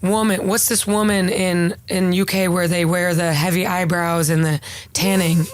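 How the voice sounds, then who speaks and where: A woman's voice: lowish voice, level tone, normal speed, no room sound. A young woman hosting a podcast, chatting in a brash, loose way and swearing freely. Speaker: podcast host. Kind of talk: casual podcast chat.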